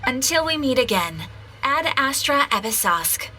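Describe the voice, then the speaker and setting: Higher-voiced, formal guild receptionist. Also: female voice, big pitch swings, bright, forceful tone, a little hiss or hum, full character voice, low-quality recording. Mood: ceremonial. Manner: formal, polite, measured. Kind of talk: video game cutscene acting. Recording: music behind the voice.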